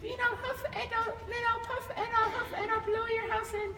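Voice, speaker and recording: A man voicing a hungry, blustering storybook wolf who coaxes and threatens in loud, drawn-out falsetto calls. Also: steady tone, a crowd in the background. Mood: threatening. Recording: noisy, echoey hall recording.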